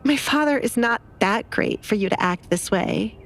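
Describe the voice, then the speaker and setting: A woman's voice: medium pitch, lively intonation, moderate pace, vocal fry, pitched above the performer's own voice. A woman voicing a bitter, defiant young noblewoman in an audio drama, blunt and sarcastic, with light background noise.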